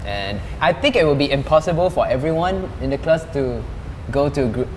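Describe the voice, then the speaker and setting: A man's voice: animated, brisk. A young man hosting a casual group chat and putting questions to the others. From Singapore and Cambodia. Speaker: host reading out questions. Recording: echoey hall with rumbling background noise.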